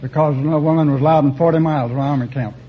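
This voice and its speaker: A man's voice: on the high side, fairly even intonation, fast talker, over a noisy crowd. A man in his seventies from Texas, a quiz show contestant, giving short, dry, matter-of-fact answers. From Texas, USA. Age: 70s. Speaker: quiz contestant, army veteran. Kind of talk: answering interview questions on a radio quiz show. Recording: muffled, very noisy old radio recording.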